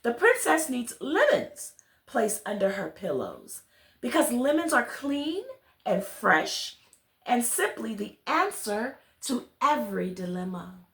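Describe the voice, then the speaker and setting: A woman's voice: a little high, sing-song, slow, gravelly, a bit bright, heavily acted. A woman voicing a prim, confident royal lady of the linens in a children's storybook. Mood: confident insisting. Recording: roomy, echoey sound.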